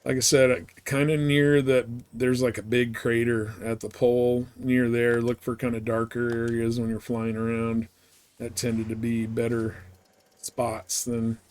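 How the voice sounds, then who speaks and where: A man with a mid-pitched voice talks casually on a gaming livestream. He rambles and thinks out loud as he plays, in the loose, conversational way of someone chatting to viewers. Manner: rambling, thinking aloud while playing. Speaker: video game livestreamer. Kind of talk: casual gaming stream chat.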